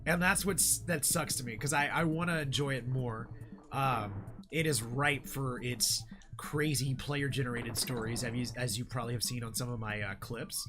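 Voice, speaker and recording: A hoarse young man streaming a game, chatting casually with viewers in a rambling way with lots of fillers. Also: medium pitch. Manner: rambling, frequent fillers, reads chat aloud. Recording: game music behind the voice.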